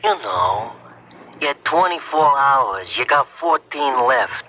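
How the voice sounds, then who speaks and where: Mob henchman. Slow, hard-edged, curt threats over a telephone, vintage radio drama. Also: medium pitch, lively intonation, bright, forceful tone, exaggerated voice. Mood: menacing. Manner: curt, clipped phrases. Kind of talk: 1970s radio drama acting. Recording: dull radio recording, telephone filter.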